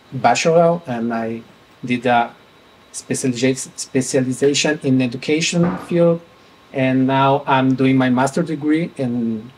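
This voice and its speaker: A young man from Brazil speaks with a Brazilian Portuguese accent at a conference panel table, and his middle-pitched voice often stops short as he searches for words in a language that is not his own. Faint room ambience sits under his voice. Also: darkish tone, slight background noise, poor audio. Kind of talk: panel presentation.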